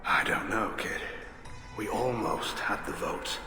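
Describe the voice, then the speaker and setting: A lowish, gruff voice of a hawkish alien admiral in middle age. He speaks in a blunt, clipped, wry way, in an echoing hall with music behind him. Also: slow pace. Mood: grim, determined.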